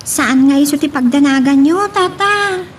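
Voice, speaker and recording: A woman's voice: high voice, unhurried, a little hiss or hum. A woman with an Ilocano Filipino accent playing a young, dutiful, soothing student daughter in an audio drama. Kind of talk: Ilocano radio-style drama acting.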